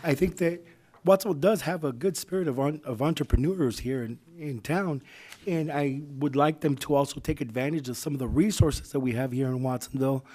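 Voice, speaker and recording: Young male vice mayor, hoarse from flu, mellow low-key voice, loose public remarks full of fillers. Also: fairly quick. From United States. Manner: loose, conversational, many "you know" fillers. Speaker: city council vice mayor.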